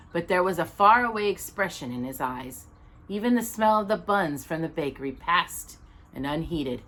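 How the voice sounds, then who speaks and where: A woman reads a children's storybook aloud as a storytime host. Her voice is bright and slightly husky, with very lively intonation and dramatic emphasis on key words. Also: low voice. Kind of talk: expressive children's storybook narration.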